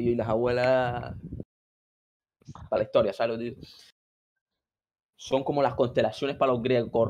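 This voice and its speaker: A man's voice: mid-range voice, darkish tone, lo-fi sound. A young man from the Canary Islands with a Canarian accent, telling comic stories casually on a video call in a slangy, boastful, rambling way. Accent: Canarian Spanish. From Canary Islands, Spain. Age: young adult. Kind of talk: casual chat, comic storytelling. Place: indoor room, video call.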